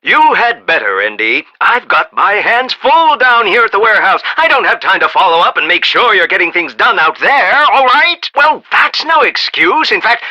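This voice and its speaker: A demanding, eccentric inventor boss for a video game. His voice is high for a man and extremely bright and forceful, with big swoops in pitch. He talks in a folksy, theatrical, blustering way. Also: sing-song, normal speed, hardly any pauses, muffled recording, heavily acted. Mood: eager, insistent. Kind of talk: scripted game dialogue.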